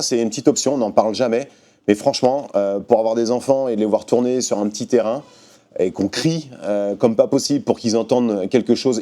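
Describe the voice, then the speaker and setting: A man with a fairly low, dark and relaxed voice. He presents gear from a shop's point of view, talking straight to the viewer. His explanations are informal and practical. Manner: informal, hands-on explaining, addressing the viewer directly. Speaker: motorcycle shop presenter. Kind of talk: casual product explainer to camera.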